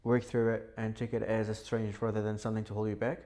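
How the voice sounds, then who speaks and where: A young man who grew up in Syria, a podcast guest telling his life story in a relaxed, chatty way with frequent fillers. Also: on the low side, quick pace. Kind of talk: casual podcast interview. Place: indoor podcast setup.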